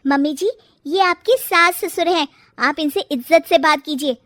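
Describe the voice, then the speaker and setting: महिला की आवाज़ में ऊँचे सुर वाली चालाक, नखरेबाज़ बहू. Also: female voice, high-pitched, moderate pace, fairly bright tone, no echo, studio quality, pitched above the performer's own voice. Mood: pert, defiant. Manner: pert, defiant, theatrical. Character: cheeky, scheming young daughter-in-law, fake-tearful schemer. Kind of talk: cartoon moral-story character voice.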